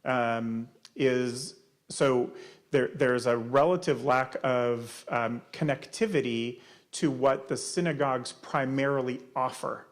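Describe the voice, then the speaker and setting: A man's voice: medium pitch, fairly even intonation, slow. Male presenter, community meeting Q&A, explanatory, restarts often. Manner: explanatory, many fillers and restarts. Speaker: presenter answering audience questions. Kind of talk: community panel Q&A.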